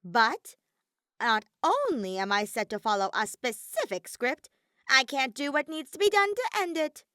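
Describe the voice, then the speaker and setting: A woman's voice: sits high, big pitch swings, unhurried, bright, forceful tone, no echo, clean studio sound, pitched above the performer's own voice, full character voice. A woman voicing a bratty, exasperated male robotic teddy bear villain in a fan fiction audio reading, theatrical and whiny. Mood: griping. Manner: theatrical, whiny, griping outbursts.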